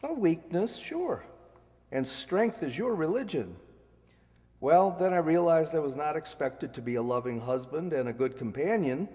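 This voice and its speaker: A man's voice: fairly high pitch, lively intonation, normal speed, a little mellow, close to an everyday voice. A man playing a witty, needling, urbane ex-husband in an amateur radio play, sardonic and theatrical. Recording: echoey hall, long reverb.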